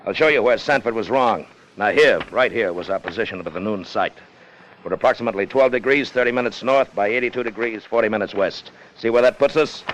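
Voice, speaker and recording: A man's voice: on the low side, brisk, fairly bright tone, natural voice. An American man acting a hard, greedy, commanding ship's officer in a 1950s radio drama, clipped and intense. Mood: brisk, commanding. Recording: noisy vintage 1950 radio recording.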